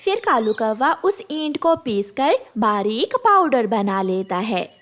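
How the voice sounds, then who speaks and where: Woman narrator with an expressive storytelling voice. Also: a little high, slow pace. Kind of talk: scripted children's story narration.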